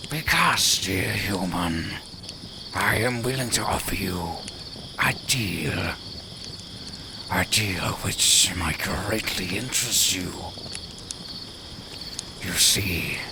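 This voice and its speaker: A man playing a cunning, proud lone werewolf in an audio roleplay, speaking formally and deliberately with a menacing edge. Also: low voice, background noise. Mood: sly, bargaining. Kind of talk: slow theatrical audio roleplay.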